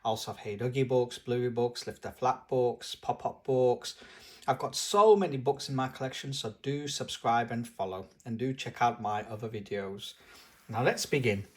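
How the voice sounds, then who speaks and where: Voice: mid-range voice, normal speed. A host reading a picture book aloud expressively for young children. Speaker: storybook channel host. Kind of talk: children's read-aloud narration.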